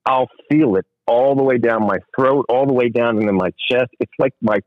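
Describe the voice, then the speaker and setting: A hoarse man calling in to a radio show, talking in a worried, halting way with many restarts. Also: mid-range voice, no echo. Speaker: caller. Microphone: phone line. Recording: muffled phone-in line.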